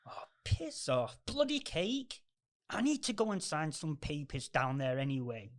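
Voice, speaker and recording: A man playing a selfish, bitter older businessman with a gruff, sneering manner in an amateur radio play. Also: fairly high pitch, animated, unhurried. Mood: scornful.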